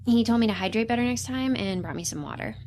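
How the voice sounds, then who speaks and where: A woman's voice: fairly high pitch, fast talker, darkish tone, slight background noise, lightly acted. A woman playing a young science intern in a sci-fi audio drama, earnest, awkward and rambling, with an apologetic manner. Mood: flustered. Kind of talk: natural audio drama acting.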